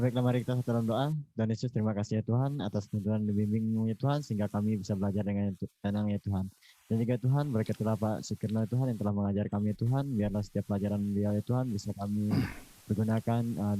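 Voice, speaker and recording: A man leads a group prayer in a deep, very dark voice. He moves along quickly and fluently, repeating a refrain after nearly every phrase. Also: little pitch movement, poor audio.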